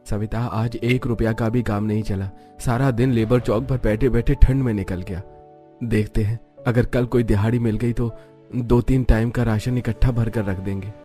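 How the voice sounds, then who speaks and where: Cartoon role: weary, poor working husband, soft mellow timbre, downcast delivery, acted by a man. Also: fairly low pitch, steady tone, moderate pace, faint music behind, lightly acted. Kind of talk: cartoon story dialogue.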